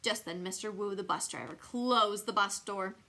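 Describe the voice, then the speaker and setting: A woman reading a children's bedtime story aloud as scripted, expressive narration. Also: mid-range voice, normal speed, vocal fry. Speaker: storybook reader.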